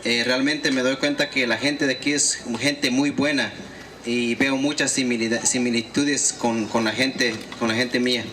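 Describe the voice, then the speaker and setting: A man's voice: mid-range voice, steady tone, normal speed, pushed and bright. A male interpreter renders each remark fluently and steadily after the speaker. Light background noise comes through at an outdoor event. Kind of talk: consecutive interpreting. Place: outdoor gathering.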